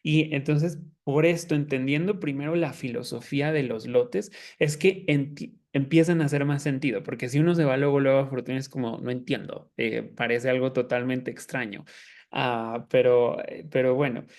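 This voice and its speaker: Male guest expert, mid-pitched voice, conversational explainer, halting phrasing with repeated words. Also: fairly even intonation, darkish tone. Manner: hesitant, halting, repeats words while searching. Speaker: guest astrologer.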